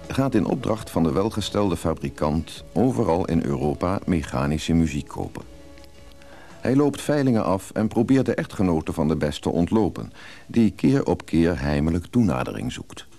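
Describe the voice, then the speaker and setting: A man's voice: low voice, mellow. A man giving scripted narration as the announcer of a radio drama serial. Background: plucked guitar music.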